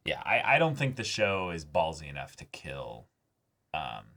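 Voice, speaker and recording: A man co-hosting a reaction show, talking casually and haltingly as he thinks aloud about an anime episode, with emphatic swearing. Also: very deep voice, big pitch swings, vocal fry, bright, forceful tone.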